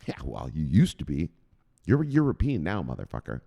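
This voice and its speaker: A man's voice: a bass voice, huge pitch swings, fairly quick, vocal fry, warm tone. A man hosting a crypto news channel, ranting through the headlines in a profane and emphatic way.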